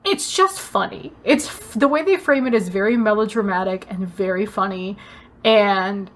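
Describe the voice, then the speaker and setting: A woman talks casually as a podcast co-host, with a medium-pitched voice. Her delivery is informal and rambling, with an animated, conversational flow. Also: moderate pace. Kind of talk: casual chat about anime and stage plays.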